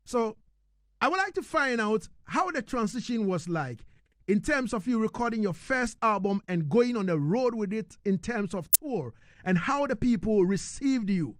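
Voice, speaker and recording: A male radio host speaks in a high, very lively voice. His delivery is emphatic and conversational, and the sound is dry and close, suited to live interviewing. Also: moderate pace, dry recording. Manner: emphatic, repeats "you know what I mean". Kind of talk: live radio interview, reading listener messages.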